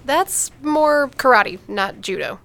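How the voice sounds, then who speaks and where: An actress playing a frank, teasing American older sister in an audio drama, with a blunt, needling manner. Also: female voice, high-pitched, lively intonation, slow pace, gravelly, pushed and bright, exaggerated voice. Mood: casual, gentle. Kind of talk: naturalistic audio drama dialogue.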